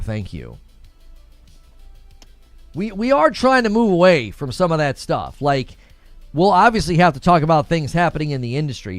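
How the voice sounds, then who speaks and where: A man with a slightly high voice talks casually as a livestream host, slangy and conversational. He moves between chatting and reading out viewer messages and shout-outs, repeating points for emphasis. The sound is close and dry, with light music behind him. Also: no echo.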